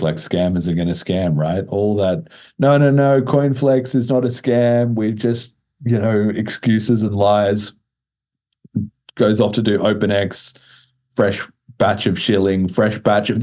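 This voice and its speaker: Male podcast host, low pitch, relaxed dark tone, informal and slangy. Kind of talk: casual podcast chat.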